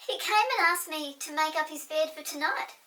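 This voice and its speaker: A woman voicing a kindly old female pixie mother, high, sweet and fluttery. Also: moderate pace, bright, forceful tone, far from the mic. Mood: worried.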